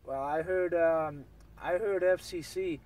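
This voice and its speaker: A man's voice: fairly high pitch, a little slow. A man chatting casually over CB radio, often repeating himself. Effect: CB radio transmission. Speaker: CB radio operator. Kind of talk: casual chat with repetitions. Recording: distant CB radio with light noise.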